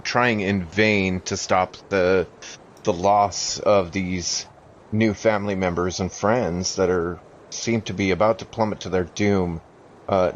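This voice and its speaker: A deep-voiced man plays a tabletop roleplaying game. He improvises slowly and thoughtfully, with halting phrases, on a slightly muffled recording with faint noise. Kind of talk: improvised in-character game play.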